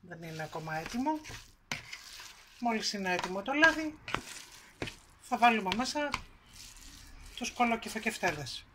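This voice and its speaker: A woman hosting a home cooking video, calmly walking through the ingredients and steps in her kitchen. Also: medium pitch, pauses often, background noise. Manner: calm step-by-step narration, listing ingredients. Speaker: cooking show host.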